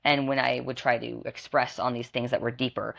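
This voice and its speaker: A woman's voice: a bass voice, flat delivery, brisk, creaky. Earnest young woman telling her story. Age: 20s. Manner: earnest, rambling, self-correcting. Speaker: podcast guest. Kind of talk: candid personal testimony.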